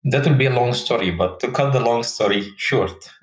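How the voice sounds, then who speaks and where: A man's voice: mid-range voice, normal speed, echoey room, slight background noise. A man in his forties from Egypt with an Egyptian Arabic accent, telling his life story earnestly as an interview guest and searching for words in his second language. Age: 40s.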